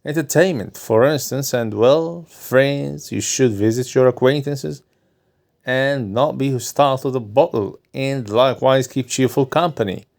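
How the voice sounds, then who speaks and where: A man's voice: mid-range voice, a little slow, vocal fry, darkish tone. A man voicing a fussy, probing older doctor who lectures his patient emphatically. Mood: insistent.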